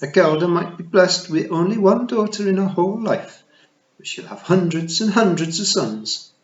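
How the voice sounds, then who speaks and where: A man voicing a tiny, shrewd old female pixie chieftain with a broad Scottish burr, warm, teasing and commanding. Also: high-pitched, expressive, normal speed, voice pushed up. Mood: fond. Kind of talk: storybook reading. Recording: roomy, slightly dull recording.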